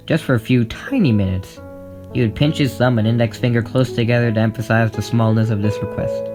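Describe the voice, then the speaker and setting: A man reading scripted story narration in an audio drama. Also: fairly low pitch. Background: plucked guitar music.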